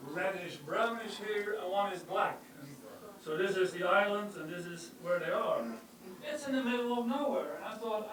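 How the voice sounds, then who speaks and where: Older dutch guy giving a lecture, highish voice, joking around, echoey hall, mic far away. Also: slight background noise. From Netherlands. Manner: wry, self-deprecating humor, with hesitant fillers. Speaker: university geochemistry professor giving a public talk. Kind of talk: informal public lecture with slides and jokes.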